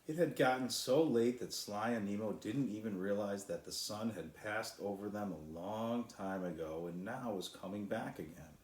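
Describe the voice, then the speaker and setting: A man's voice: fairly low pitch, moderate pace, few pauses. A man narrating a children's storybook as its author, reading aloud. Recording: roomy echo.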